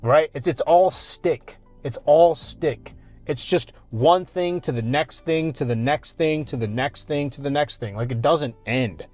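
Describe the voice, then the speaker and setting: A man's voice: on the high side, a little slow, a little mellow, faint music behind. A man co-hosting a casual podcast, emphatic and repetitive, swearing often. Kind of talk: casual chat.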